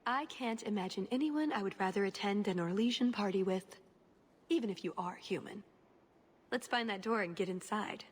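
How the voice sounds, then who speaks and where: A woman's voice: mid-range voice, moderate pace, breathy edge, lightly acted. A woman voicing a determined, earnest elven assassin in a video game, purposeful but inwardly conflicted. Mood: resolute.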